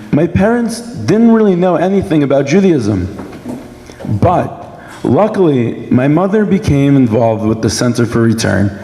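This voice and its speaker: A young man from Brooklyn tells his story in a measured, rehearsed way, speaking through a sore throat. His voice is bright and mid-pitched, ringing with long reverb in a big hall. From Brooklyn, New York, United States. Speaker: guest speaker giving a personal testimony. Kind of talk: prepared speech at a dinner event. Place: large indoor event hall.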